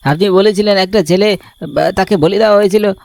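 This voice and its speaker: A man voicing a frightened, excitable young village boatman in an animated ghost story, exclaiming in alarm. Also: sits high, brisk, gravelly, pitched above the performer's own voice. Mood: anxious questioning.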